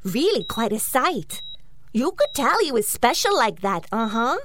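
A woman's voice: fairly high pitch, sing-song, measured pace, fairly bright tone, no room sound, full character voice. A woman playing a chatty, homely small-town nurse in a comedic audio drama mock interview, dropping little agreeing murmurs. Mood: fond, reminiscing. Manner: homely, punctuated with agreeing uh-huhs.

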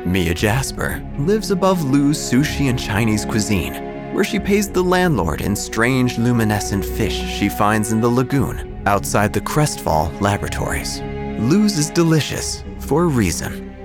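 A man reading a scripted first-person horror story, with deadpan, dryly absurd delivery. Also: mid-range voice, a little slow, over music. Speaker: narrator.

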